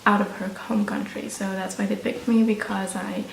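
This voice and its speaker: A woman's voice: medium pitch, level tone, moderate pace, vocal fry. Echoey young Romanian woman vlogger. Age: young adult. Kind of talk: casual chat to camera. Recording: roomy echo, distant mic, faint noise.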